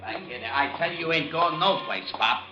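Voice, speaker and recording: An actor playing a gruff, cynical taxi driver with brusque, slangy tough talk in a 1950s radio drama. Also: male voice, fairly high pitch, measured pace, loud music behind the voice, close to an everyday voice. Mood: irritated. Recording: noisy, muffled vintage radio recording.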